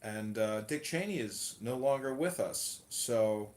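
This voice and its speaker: A man's voice: mid-range voice, animated, unhurried, roomy sound. A man, a political candidate, talking casually and indignantly in a rambling way with lots of fillers. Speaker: political candidate hosting an audio room.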